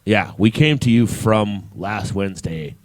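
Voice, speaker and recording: A man with a fairly low voice hosts a radio talk show. He speaks casually and without a script, and the recording is dry with little room sound. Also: unhurried, no room sound.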